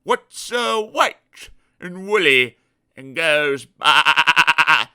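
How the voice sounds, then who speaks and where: A man voicing a rude, sarcastic male pig who talks slowly and loudly in a drawn-out, taunting way. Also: on the high side, sing-song, clear, resonant tone, pitched above the performer's own voice, exaggerated voice. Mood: smug. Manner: slow, drawn-out, loud, taunting.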